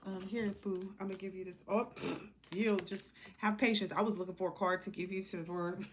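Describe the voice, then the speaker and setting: A woman's voice: medium pitch, fairly even intonation, brisk, a little hiss or hum. An American woman giving a casual, rambling tarot card reading in a blunt, sassy, colloquial way.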